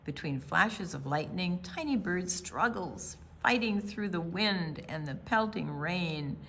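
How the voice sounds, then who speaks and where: An American woman reading a picture book aloud for young children, with dramatic pauses, hushed asides and emphatic delivery. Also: lowish voice, expressive. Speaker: children's storytime reader at a library.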